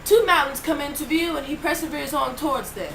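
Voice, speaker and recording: A woman's voice: sits high, fairly quick, creaky, slight background noise. A teenage girl narrating a school puppet play with a playful storytelling manner. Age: teen.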